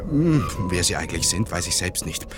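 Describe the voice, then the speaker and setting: An actor playing a jolly, boastful but cowardly bird catcher in a theatrical radio play, with comic, hesitant bluster. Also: male voice, low-pitched, very animated, brisk, very noisy. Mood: confiding. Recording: music behind the voice.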